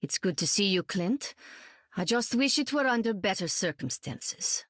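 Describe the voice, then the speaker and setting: An actress playing an earnest, somber superheroine in a video game. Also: female voice, medium pitch, expressive, normal speed, fairly bright tone, no echo, clean studio sound. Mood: wistful.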